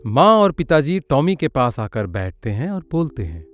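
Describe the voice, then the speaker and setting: A man's voice: medium pitch, very animated, normal speed. A man narrating a children's cartoon story in a scripted storytelling style.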